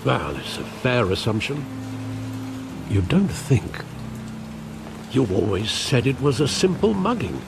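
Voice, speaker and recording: An actor playing a loyal elderly male butler, speaking in a measured, formal, grave way. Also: medium pitch, big pitch swings, gravelly, music in the background, exaggerated voice. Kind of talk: video game cutscene acting. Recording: game audio with rain ambience. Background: rain and ambience.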